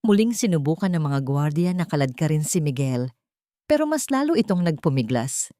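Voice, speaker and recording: A woman's voice: low-pitched, sing-song, normal speed, dark tone, dry recording. A woman with a Filipino accent narrating a dramatic scripted story. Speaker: audiobook narrator.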